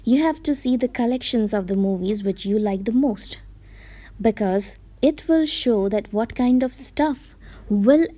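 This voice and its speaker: A woman's voice: fairly high pitch, mellow. A woman from India with an Indian English accent, whose first language is Gujarati, giving earnest advice in a casual vlog and correcting herself as she goes. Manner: earnest, explanatory, with self-corrections. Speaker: vlogger giving reading advice. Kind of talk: casual talking-to-camera advice.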